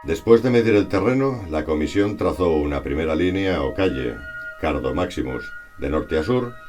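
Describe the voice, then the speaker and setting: Very deep-voiced man narrating history. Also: a little mellow. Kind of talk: scripted historical narration, read aloud. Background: music.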